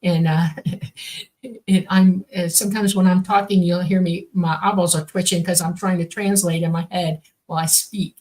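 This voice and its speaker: A woman's voice: fairly low pitch, steady tone, normal speed, a little mellow, rough recording. A woman in her sixties whose first language is Swedish, co-hosting a casual podcast and rambling with lots of fillers. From born on a military base, raised all over. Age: 60s. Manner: rambling, many fillers and restarts. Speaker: co-host, contactee.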